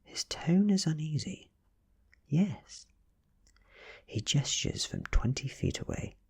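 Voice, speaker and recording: A man with a British accent reading a story in a hushed, deliberate ASMR style, mostly whispered, close to the microphone. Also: a little high, expressive, gravelly, slightly rough. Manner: hushed, deliberate, lingering between short phrases. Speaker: audiobook reader.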